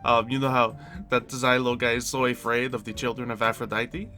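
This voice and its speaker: A man's voice: medium pitch, level tone, a little fast, sharp tone, soft background music, natural voice. A man playing an eager, childlike prankster at a demigod camp in improvised Minecraft roleplay, chatting and rambling playfully.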